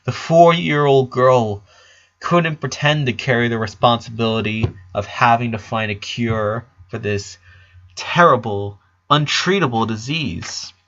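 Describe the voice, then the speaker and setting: A man's voice: mid-range voice, measured pace, fairly bright tone, highs cut off. A man reading a story aloud as its narrator, in scripted prose.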